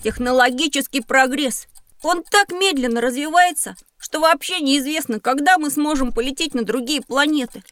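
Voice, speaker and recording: A woman playing an eager, impatient young boy, excitable in a children's educational audio drama. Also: sits high, moderate pace, no echo, clean studio sound. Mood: grumbling. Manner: excitable, exclamatory.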